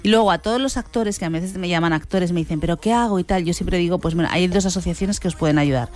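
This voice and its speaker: Lower-voiced woman casting director. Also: fast talker, darkish tone. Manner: reflective, repeats phrases for emphasis. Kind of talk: radio talk show commentary.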